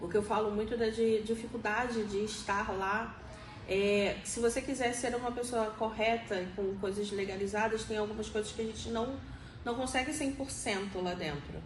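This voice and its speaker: Higher-voiced Rio woman in an echoey room. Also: steady tone, moderate pace. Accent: Rio de Janeiro Brazilian Portuguese. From Rio de Janeiro, Brazil. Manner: explanatory, frequently seeks agreement with tag questions. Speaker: interviewee. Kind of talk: conversational interview answers. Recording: echoey room, faint background noise.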